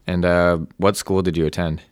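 A young man asking interview questions in a casual, slightly halting way. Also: low voice, little pitch movement, smooth, no room sound, studio quality. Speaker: student interviewer.

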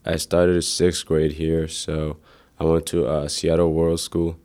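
A young man from Ethiopia, a native Amharic speaker, answering interview questions in a hesitant, plain way with many pauses. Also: rumbling low, level tone, darkish tone. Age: teens to young adult.

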